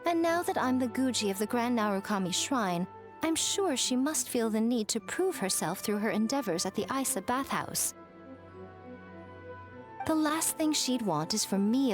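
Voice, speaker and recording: A woman's voice: a little high, big pitch swings, normal speed, faint music behind. A woman voicing a poised, sly and teasing adult shrine priestess in a video game.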